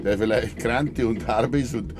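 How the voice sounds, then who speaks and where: An actor playing a stubborn, breezily evasive middle-aged farmer in a Bavarian dialect radio play. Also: male voice, medium pitch, unhurried, natural voice. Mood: breezy, stubborn. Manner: breezy, evasive, talking past others. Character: stubborn older farmer father, cheerfully denying his son's death. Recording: noisy 1950s radio play recording.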